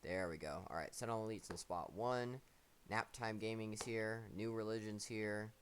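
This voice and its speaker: A man with a very low voice hosts a gaming tournament stream. He talks loose and slangy and cracks jokes, with faint hiss under his mic. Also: fairly even intonation, normal speed, fairly bright tone. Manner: laid-back, slangy, joking. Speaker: tournament host. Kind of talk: casual live-stream hosting.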